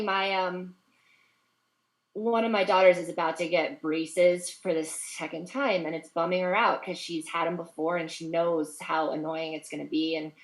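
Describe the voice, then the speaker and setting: A woman's voice: lowish voice, echoey room, low-quality recording. A middle-aged woman in a casual group chat, speaking candidly and reflectively and laughing easily as she tells her stories.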